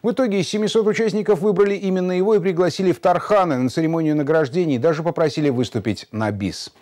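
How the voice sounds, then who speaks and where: A middle-aged man reading the news as a studio anchor, in a brisk, formal delivery. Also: on the high side, darkish tone. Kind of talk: read news bulletin.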